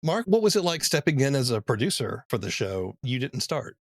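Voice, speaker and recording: A man hosting a podcast interview, asking thoughtful, halting questions. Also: mid-range voice, big pitch swings, no echo, studio quality. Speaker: podcast host.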